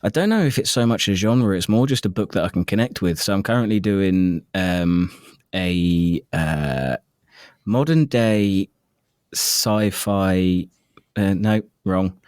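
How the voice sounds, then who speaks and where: A man's voice: low-pitched, takes it slowly, darkish tone, smooth, no echo, studio quality. A man who narrates audiobooks, chatting casually as an interview guest in a self-deprecating, jokey and sometimes sweary way.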